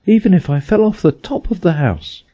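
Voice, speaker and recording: A man's voice: high-pitched, animated, moderate pace, mellow, pitched above the performer's own voice. A man voicing a curious, chattering, earnest little girl who muses primly aloud to herself in an audiobook. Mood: musing, chattering. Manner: musing aloud to herself, prim. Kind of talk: audiobook character voice.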